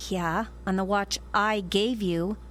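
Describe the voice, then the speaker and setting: A woman's voice: mid-range voice, fairly even intonation, takes it slowly, fairly bright tone. An American woman acting in a radio drama as a bullying, belittling wife with a sarcastic, needling manner. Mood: scornful.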